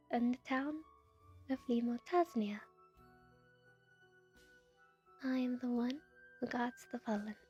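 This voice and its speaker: A woman voicing a gentle, solemn guardian spirit of light in an ASMR fantasy roleplay, speaking softly in a hushed, measured way. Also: a little high. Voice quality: soft, breathy, half-whispered. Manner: slow, measured, hushed delivery.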